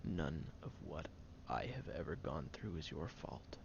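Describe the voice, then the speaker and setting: A man playing a guarded, prickly young tsundere caring for a sick friend in an intimate audio roleplay, speaking haltingly, stern yet caring, often hushed. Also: a bass voice, gravelly, muffled recording, background noise, exaggerated voice. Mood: exasperated, earnest.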